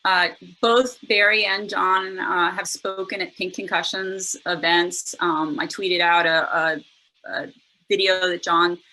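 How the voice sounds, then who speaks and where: A woman's voice: fairly low pitch, fairly even intonation, slow, pushed and bright, slight background noise, rough recording. A woman hosting a casual online conversation, chatty and loose, with many fillers and restarts. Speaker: podcast host. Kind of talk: casual webinar chat.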